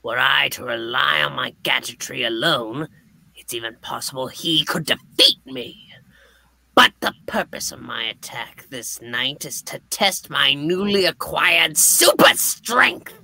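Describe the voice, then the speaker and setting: A performer voicing a gloating male goblin supervillain with a raspy, creaky, theatrically menacing delivery in a comic book puppet reading. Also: mid-range voice, animated, measured pace, bright, forceful tone, no room sound, heavily acted, low-quality recording. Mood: boastful.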